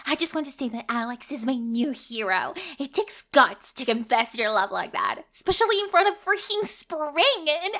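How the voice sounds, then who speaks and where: A woman playing an opinionated, excitable celebrity fan on a radio call-in, emphatic and gushing. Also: high voice, moderate pace, hardly any pauses, exaggerated voice. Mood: starstruck, excited.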